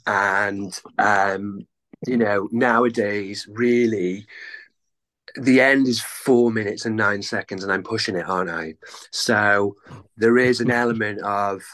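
A man's voice: on the low side, fairly even intonation, slow pace, fairly bright tone, poor audio. A Welsh man in his thirties, a musician chatting casually in an interview, rambling and self-deprecating with lots of fillers. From North Wales. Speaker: guest musician.

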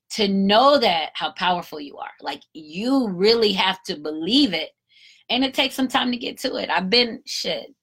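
A woman's voice: low-pitched, a bit bright, slightly rough. A woman in her fifties talking candidly on her solo podcast, encouraging listeners and cursing casually. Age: 50s. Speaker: podcast host. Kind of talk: reflective solo podcast talk.

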